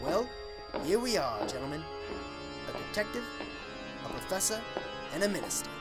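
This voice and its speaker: A man's voice: high voice, big pitch swings, normal speed, over loud music. A man playing a genial, sly small-town preacher in an amateur radio drama, folksy and insinuating. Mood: sly, smooth.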